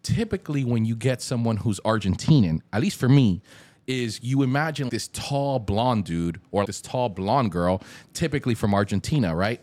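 A man from Miami, a podcast guest, telling stories in an animated, emphatic way, full of slangy asides. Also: on the low side. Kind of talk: casual chat.

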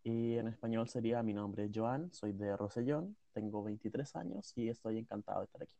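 A young tour guide with a lowish, close and dry voice, proud of being a polyglot, as a man puts him on. He talks with a hesitant, searching pace, full of filler sounds. The interview is role-played. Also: brisk, creaky, mellow, dry recording. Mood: earnest. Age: 20s. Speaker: mock interviewee.

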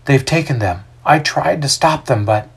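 A man voicing an anxious, gentle young woman in a story, earnest and troubled. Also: medium pitch, animated, normal speed, vocal fry, slightly rough, voice pushed up, exaggerated voice. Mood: distressed.

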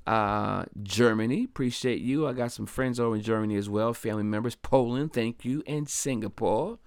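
A man from Delaware hosting his own podcast, talking in a casual, upbeat, chatty way and giving slangy shout-outs to listeners. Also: mid-range voice, normal speed, clean tone, no room sound, studio quality. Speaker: podcast host. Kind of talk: casual solo podcast monologue.

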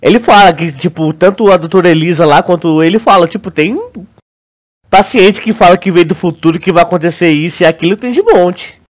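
Higher-voiced Brazilian man, casual podcaster. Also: fairly quick. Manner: slangy, repeats words while searching for phrasing. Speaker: podcast co-host. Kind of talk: casual chat, theorizing about a show.

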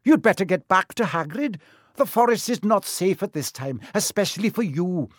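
A noble young centaur, acted out by a man, speaks in a high, lifted voice. His words are formal and emphatic, with a solemn, urgent pride that suits a storybook hero. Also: lively intonation, normal speed, no echo, clean studio sound. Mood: gentle urgency. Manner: formal, earnest, emphatic speech. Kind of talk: storybook character voice.

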